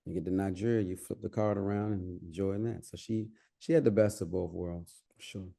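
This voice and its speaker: A man from the United States co-hosting a podcast, answering in a relaxed, conversational way. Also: low-pitched, a little fast, gravelly. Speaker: podcast co-host.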